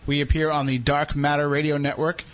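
A man's voice: fairly high pitch, little pitch movement, brisk, fairly bright tone. Joking Boston radio host man. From New England. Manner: joking, self-deprecating. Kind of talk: casual radio banter.

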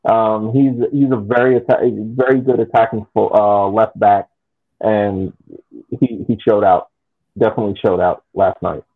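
An American man co-hosting a podcast, chatting casually about a soccer game in a halting way with frequent restarts. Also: fairly low pitch, steady tone. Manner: halting, restarts often, laughs easily. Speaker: podcast co-host. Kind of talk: casual sports chat. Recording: tinny phone-like line.

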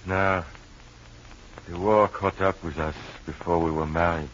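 A man's voice: low voice, fairly even intonation, measured pace, fairly bright tone. An actor playing a sick, delirious Frenchman in a radio drama, fervent, with a French accent and French phrases mixed in. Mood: wistful. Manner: fervent, drops French phrases into his talk. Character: sick, delirious Frenchman, devoted and stubbornly noble. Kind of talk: old-time radio drama acting. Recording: muffled, noisy old radio recording.

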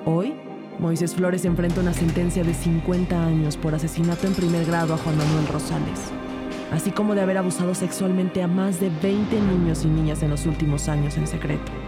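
A woman voices a female detective recounting a case in a crime audio drama. Her narration is measured and serious, in a lowish voice. Music plays clearly behind her. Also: steady tone, close to an everyday voice. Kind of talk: scripted crime fiction narration.

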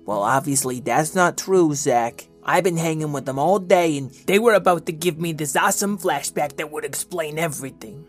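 A woman voicing a sassy, energetic young rabbit in a children's audio story, quick and teasing. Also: low-pitched, soft background music. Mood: impatient, urging.

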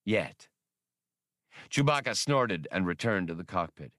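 A man narrates a novel as an audiobook. His voice is on the low side, and the sound is close and dry, with no room around it. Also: expressive, unhurried, fairly bright tone, no room sound. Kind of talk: scripted fiction narration.

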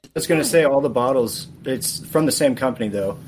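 A man's voice: medium pitch, brisk, noisy background. A man guesting on a casual podcast, straightforward and a little reserved. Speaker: podcast guest, axe craftsman.

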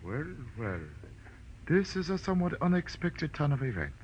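Male, silky polite schemer, higher-pitched and theatrical, muffled hissy vintage radio. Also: sing-song, normal speed. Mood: smug, polite. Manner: mannered, falsely polite, theatrical. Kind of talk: 1940s radio drama acting.

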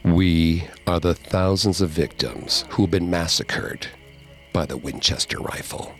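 A man voicing a crowd of vengeful murdered spirits speaking through a medium, in a groaning, ominous, slow voice. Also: sits low, faint music behind, voice pushed down. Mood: menacing.

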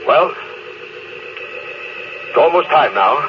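Stern captain on noisy old radio. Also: male voice, mid-range voice, animated, slow pace, dull audio. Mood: firm, placating. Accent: American. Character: proud, stern, emotionally closed spaceship captain and fleet commander. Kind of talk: classic American radio drama acting.

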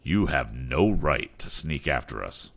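A man's voice: rumbling low, expressive, measured pace, gravelly, fairly bright tone, exaggerated voice. A man voicing a cold, stern aged inventor in a storybook reading. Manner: cold, curt.

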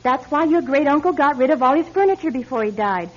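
A woman's voice: sits high, lively intonation, fast talker. An actress playing an anxious, superstitious woman with an earnest, urgent manner in a radio drama. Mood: ominous, urgent. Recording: dull lo-fi radio recording.

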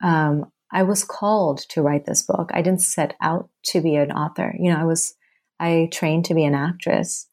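A woman's voice: sits low, fairly even intonation, moderate pace, a little mellow. A woman from Bangladesh, an author answering interview questions with earnest, rhythmic, building phrases. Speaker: author guest.

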